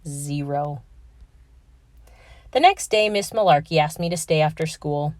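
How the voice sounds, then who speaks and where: A woman reading a children's picture book aloud with expressive storytelling. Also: sits low, a little slow, dry recording, studio quality.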